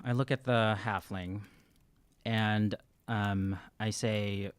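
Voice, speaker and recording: A man in his thirties plays a tabletop role-playing game, improvising dialogue and description. He has a fairly low voice and an earnest, halting way of talking, recorded close with a dry sound. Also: fairly even intonation, smooth, dry recording. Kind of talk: improvised in-character role-play and casual description. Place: small room, indoors. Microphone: close studio microphone on stand.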